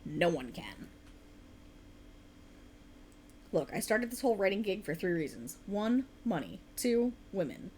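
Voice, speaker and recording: A woman's voice: medium pitch, big pitch swings, moderate pace, mellow, a little hiss or hum, natural voice. A woman playing a tired, passionate writer girlfriend in an intimate ASMR roleplay, rambling earnestly and getting playfully defensive. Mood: playful, teasing.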